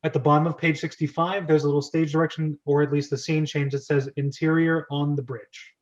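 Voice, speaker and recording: Guy running a script read, chatty host, voice a little high, slightly dull audio. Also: level tone, fast. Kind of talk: casual hosting, organising a read-through.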